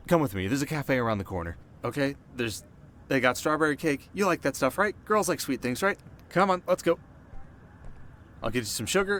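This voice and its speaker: A man playing a cocky but soft-hearted teenage delinquent boy in an ASMR roleplay, talking straight to the listener in casual slang. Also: medium pitch, slight background noise, lightly acted. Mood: flustered, apologetic. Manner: slangy, casual, stumbling when flustered.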